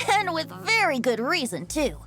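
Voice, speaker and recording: A woman's voice: high-pitched, heavily acted. A woman voicing a breezy, playful young bard in a video game, with flowery, lilting, storyteller-like speech. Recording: music behind the voice.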